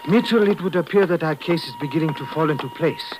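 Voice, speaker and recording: A man's voice: a little high, huge pitch swings, fast, background noise. An actor playing a formal, precise male police lieutenant in a 1950s radio drama. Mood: formal, deliberate. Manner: precise, clipped, no contractions.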